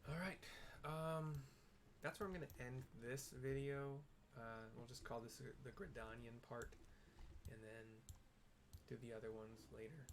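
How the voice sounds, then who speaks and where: A man casually narrating and audio-describing a video game playthrough for blind viewers, in a relaxed, halting way, sometimes spelling names letter by letter. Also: medium pitch, slight background noise.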